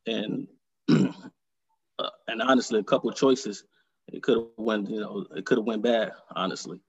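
Husky man, reflective. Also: lowish voice, fairly even intonation, lo-fi sound. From Detroit, Michigan. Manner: reflective and earnest, halting. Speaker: author, interview guest. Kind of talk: conversational interview.

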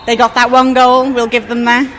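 A woman speaks in an upbeat, admiring way about a match. Her voice is on the high side. She is interviewed in a bar. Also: level tone, moderate pace, a bit bright. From Liverpool, England. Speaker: football fan interviewee. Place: crowded bar.

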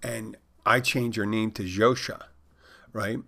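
A man's voice: on the low side, steady tone, takes it slowly, gravelly, pushed and bright. A man casually recounting a story, rambling with restarts and side comments. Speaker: storyteller recounting trolling a scammer. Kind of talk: casual spoken anecdote.

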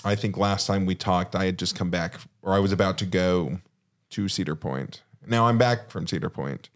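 A man's voice: deep voice, moderate pace. A young man as a podcast guest, making dry joking asides in casual chat.

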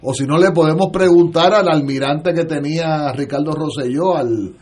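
A man's voice: a little high, normal speed, darkish tone, breathy edge. A man, a lawyer speaking as a guest on a political radio panel, hesitating often with filler sounds and restarts.

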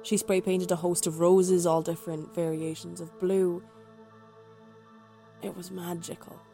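A woman performs a young woman remembering a romance that went wrong in a dark-comic radio drama. She speaks in a slightly low voice, candid and wry, confiding poetic memories with a wistful edge. Music is clearly heard underneath. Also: little pitch movement, unhurried.

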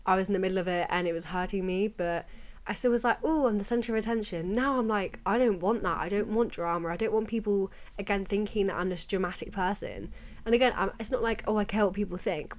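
A young British woman hosting a casual, chatty solo podcast, rambling and confiding, her voice a little croaky from a cold and sore throat. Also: mid-range voice, darkish tone. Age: young adult. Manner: informal, rambling, confiding, peppered with "like" and "you know". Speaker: podcast host.

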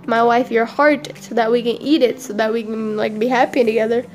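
A woman's voice: on the high side, fairly even intonation, a little fast, soft background music, lightly acted. A woman voicing a meek, apologetic male crocodile husband in a storybook tale, hesitant and pleading. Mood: guilty. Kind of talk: casual storybook character voice.

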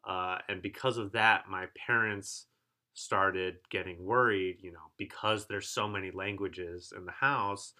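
Chatty man with a bright edge. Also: low voice, measured pace. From Korea. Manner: relaxed, chatty, frequent restarts and "right?" checks. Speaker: podcast co-host. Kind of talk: casual conversational storytelling.

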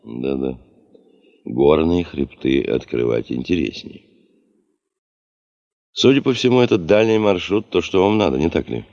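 An actor in a radio play playing a stern, sardonic expedition chief who questions a subordinate curtly. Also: male voice, expressive, measured pace, long pauses, a little mellow, exaggerated voice. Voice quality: very deep gravelly voice. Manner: commanding, curt, probing. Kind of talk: Russian radio play acting. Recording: muffled archival radio recording.

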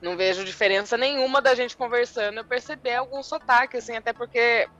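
A woman's voice: on the high side, fast talker, a bit bright, lo-fi sound, faint music behind. A woman from the Sorocaba area with a rolled interior São Paulo R, chatting on a podcast in a thoughtful, hesitant way. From Votorantim, São Paulo state. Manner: thoughtful, hesitant, many fillers. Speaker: podcast co-host. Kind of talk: casual chat.

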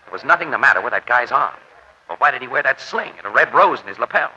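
An actor playing a cool, decisive, slangy newspaper sleuth in a 1940s radio drama, with a clipped, matter-of-fact delivery. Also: male voice, medium pitch, expressive, fast talker, sharp tone, slight background noise. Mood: urgent, determined. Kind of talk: 1940s hard-boiled radio drama acting. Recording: muffled vintage radio recording.

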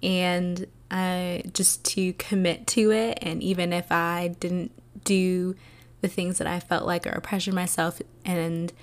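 Soft-spoken woman with a soothing voice. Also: fairly low pitch, flat delivery, slow. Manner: soft-spoken, earnest, with many fillers. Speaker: podcast host. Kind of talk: reflective solo podcast talk.

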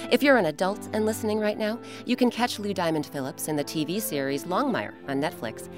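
Expressive, low-pitched woman storyteller. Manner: dramatic, expressive, deliberate phrasing. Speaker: host and narrator. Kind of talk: scripted children's storytelling narration. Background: music.

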